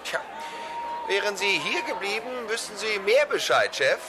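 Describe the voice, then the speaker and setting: A man's voice: high-pitched, big pitch swings, moderate pace, background noise. An actor playing a dutiful, slightly needling police assistant reporting to his chief in a radio drama. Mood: smug reporting. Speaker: police subordinate character.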